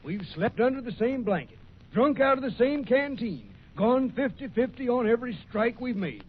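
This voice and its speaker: High-pitched cantankerous old-west prospector. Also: male voice, big pitch swings, normal speed, husky, full character voice. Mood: indignant. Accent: American. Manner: blustering, folksy rustic speech. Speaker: radio actor. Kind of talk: old-time radio western acting. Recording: muffled, noisy old radio recording.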